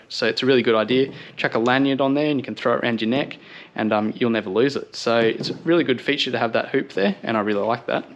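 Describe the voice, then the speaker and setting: An Australian man talks viewers through a product in a casual review style. His voice sits at a medium pitch. He sounds upbeat and approving as he explains things in everyday language. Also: a little hiss or hum.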